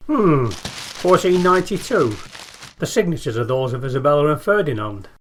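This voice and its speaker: A man's voice: a little high, lively intonation, normal speed, a little hiss or hum, close to an everyday voice. A man playing a middle-aged small-town intellectual, muttering to himself in a comic radio play. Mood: musing. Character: pondering small-town intellectual, aged forty-five, muttering over documents.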